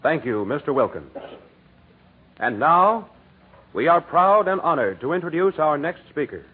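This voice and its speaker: A man's voice: a little high, very animated, measured pace, slightly rough. An American man hosting in a formal, ceremonious and polished 1940s radio announcing style. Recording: tinny, hissy 1940s radio broadcast.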